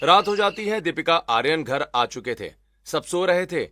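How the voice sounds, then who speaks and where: A man's voice: a little high, expressive, normal speed, bright, forceful tone, no echo, studio quality. A man reading scripted narration for a cartoon story.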